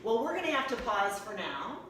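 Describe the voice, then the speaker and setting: A woman's voice: fairly high pitch, very animated, brisk, crowd noise. A chatty, joking woman in her fifties hosting a casual conversational interview. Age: 50s. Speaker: host and real estate agent. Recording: very echoey, distant mic, faint noise.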